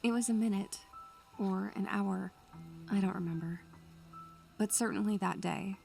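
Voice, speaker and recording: A woman's voice: medium pitch, measured pace, gravelly, dark tone, faint music behind. A woman playing a bookish, anxious young student who narrates her memories in a literary, atmospheric style. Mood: brooding, wistful. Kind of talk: atmospheric first-person scripted narration.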